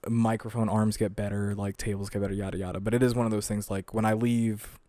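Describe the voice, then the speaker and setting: A young man hosts a podcast on a studio mic, his voice set fairly low and the sound dry and close. He talks in a laid-back way and swears casually. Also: little pitch movement, fast talker, mellow, no echo. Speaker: podcast host.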